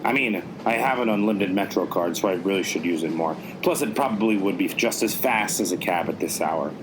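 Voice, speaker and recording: A man's voice: low voice, brisk, lightly acted. A man playing a wry detective who narrates his own case as mock-serious noir in a radio play. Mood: wry, determined. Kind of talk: scripted noir parody narration. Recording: clear background noise.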